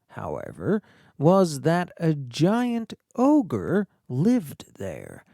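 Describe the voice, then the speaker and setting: A male storybook narrator with a fairly high voice that swings widely in pitch. He reads scripted fairy-tale prose slowly and theatrically, leaving dramatic pauses. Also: warm tone, dry recording, studio quality. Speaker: audiobook narrator.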